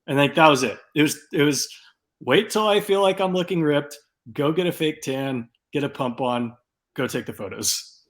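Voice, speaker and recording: A man's voice: fairly high pitch, normal speed. A man hosting a casual podcast, talking things through in a loose, reflective way with frequent fillers. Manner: thinks aloud with fillers, relaxed and reflective. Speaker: podcast host.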